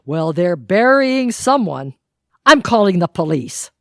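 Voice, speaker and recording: A nosy, suspicious neighbour lady voiced by a woman with a mid-to-low, animated voice. She gossips dramatically in a comic audio play with a close, dry sound. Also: measured pace, creaky, no echo, exaggerated voice. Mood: conspiratorial. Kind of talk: audio theatre comedy acting.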